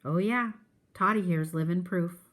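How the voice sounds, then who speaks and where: A woman's voice: lowish voice, measured pace, fairly bright tone. A woman voicing a proud old Southern country man spinning a folksy yarn in a storybook read-aloud. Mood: earnest storytelling.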